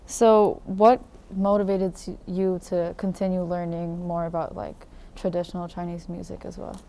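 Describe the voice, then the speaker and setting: Woman, interviewer. Dark, relaxed tone at medium pitch, casual tentative questioning, faint noise behind. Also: normal speed.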